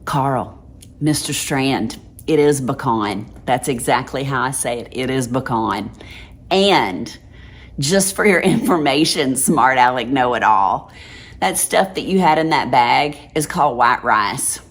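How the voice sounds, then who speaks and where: Texan lady host, really low voice, chatty and drawly, laughs a lot. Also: expressive, measured pace. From Dallas, Texas. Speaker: channel host. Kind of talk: casual chat to viewers.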